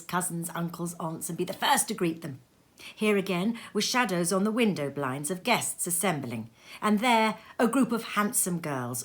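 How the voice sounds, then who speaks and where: A woman reading literary fiction aloud as a storyteller, with an expressive, theatrical delivery that moves between hushed and emphatic. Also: fairly low pitch. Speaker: storybook reader. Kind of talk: dramatic literary narration, scripted. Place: sitting room at home.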